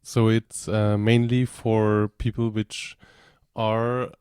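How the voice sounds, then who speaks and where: Flat-voiced low male interviewer, dry. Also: slow pace, clear, resonant tone, dry recording. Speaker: radio interviewer. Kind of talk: radio interview.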